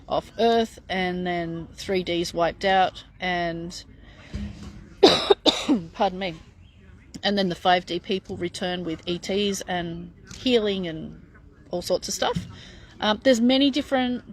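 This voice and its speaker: A middle-aged woman chatting frankly as she answers viewer questions, with lots of fillers and restarts. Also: medium pitch. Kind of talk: casual live Q&A.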